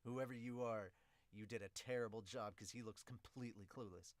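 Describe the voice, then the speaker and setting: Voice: medium pitch, steady tone, fairly quick, no echo, voice pushed down, close to an everyday voice. A podcaster voicing a scornful young male ballplayer who mutters insults in exasperation. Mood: irritated.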